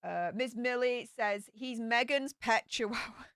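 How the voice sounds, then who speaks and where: A woman's voice: on the high side, measured pace, a bit bright, smooth, no echo, clean studio sound. A woman with a Yorkshire accent hosting a casual livestream, reading viewer comments aloud and answering them in a chatty way with broad local slang. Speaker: livestream host reading chat. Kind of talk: casual live chat.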